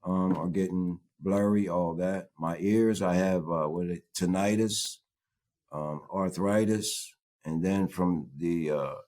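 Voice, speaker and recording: A man in his early sixties in recovery listing his health problems haltingly, with many fillers. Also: low voice, darkish tone. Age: 60s. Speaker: person in recovery, interviewee. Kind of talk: recorded interview testimony.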